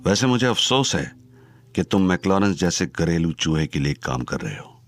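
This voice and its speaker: A deep-voiced menacing crime boss. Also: male voice, sing-song, moderate pace, dry recording. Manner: stern, threatening, scornful. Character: menacing Chinese crime boss, older man. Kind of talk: audio drama acting.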